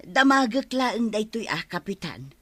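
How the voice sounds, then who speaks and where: A nosy, suspicious older Ilocano village gossip in a comic radio drama. Her voice is bright and very lively in pitch, recorded dry. She insinuates and exclaims as she meddles. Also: unhurried, no room sound. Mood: insinuating. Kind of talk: broad comedy radio drama acting.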